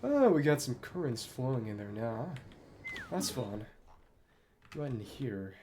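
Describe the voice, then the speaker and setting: A man's voice: medium pitch, very animated, a little slow, noisy background. A man streaming a game, musing to himself in short asides and reading dialogue aloud in a casual way. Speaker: gaming streamer.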